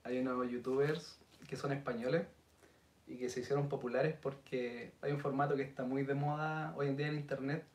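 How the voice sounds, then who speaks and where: A man in his thirties with a Chilean accent, telling stories in a relaxed podcast chat with Chilean slang. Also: mid-range voice, brisk. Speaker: podcast host. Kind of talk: casual chat. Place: indoor studio set with city backdrop. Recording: roomy sound, mic a bit distant.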